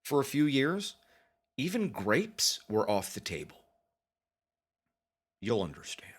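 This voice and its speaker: A man telling a first-person story as a dramatic confession, with deliberate pauses and mock-grave, theatrical emphasis. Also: mid-range voice, sing-song, breathy edge. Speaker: storytelling podcast narrator.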